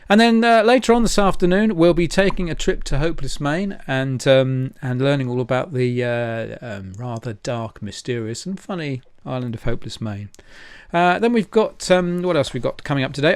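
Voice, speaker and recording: A man's voice: mid-range voice, moderate pace, few pauses, clean tone, no echo. Rambling, chatty man hosting, dry close sound. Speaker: event host and presenter. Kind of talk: informal livestream announcing.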